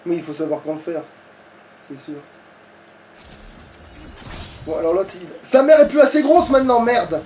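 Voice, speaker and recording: Casting: high-pitched male gamer, excitable grumbler who curses, recorded away from the mic. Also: brisk. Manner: excitable, grumbling, swears often. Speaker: let's play player. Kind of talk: casual gaming commentary. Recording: distant mic, background noise.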